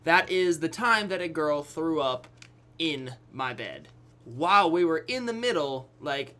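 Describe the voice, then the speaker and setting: A young adult man telling a personal story casually to his viewers, acting out quotes, pausing for effect and often checking that listeners follow. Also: on the high side, expressive, bright, forceful tone. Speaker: YouTube storyteller.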